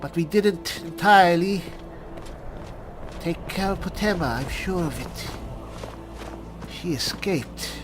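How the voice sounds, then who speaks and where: A man plays an earnest cleric adventurer in a fantasy roleplay game, thinking out loud. His voice sits fairly high and moves slowly, with halting, worried phrasing. Music plays clearly behind him. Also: animated. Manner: halting, musing aloud, sighing. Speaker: gamer playing a roleplay. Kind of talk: in-character improvised roleplay narration.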